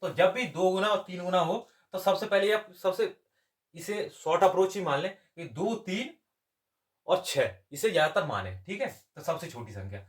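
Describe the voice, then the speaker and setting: Male tutor, fairly high voice, explains step by step and answers his own questions. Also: expressive, normal speed. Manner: asks questions and answers them himself. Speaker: exam coaching maths teacher. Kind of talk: step-by-step lesson.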